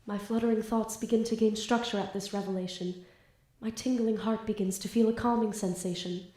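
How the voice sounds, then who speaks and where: A woman's voice: medium pitch, fairly even intonation, fairly quick, mellow, echoey room, lightly acted. A woman playing a flustered, lovestruck, bookish student in an audio drama, with grandiose theatrical diction. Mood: grandiose, dramatic.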